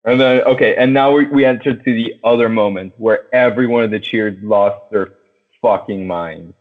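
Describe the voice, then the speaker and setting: A young man co-hosting a podcast, chatting casually and excitably about a film in slangy, sweary speech. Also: fairly low pitch, normal speed. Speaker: podcast co-host. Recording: thin, phone-like band-limited audio.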